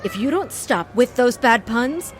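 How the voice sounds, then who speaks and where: A woman's voice: on the high side, expressive, a little slow, slight background noise. An actress playing a stern woman airport security officer.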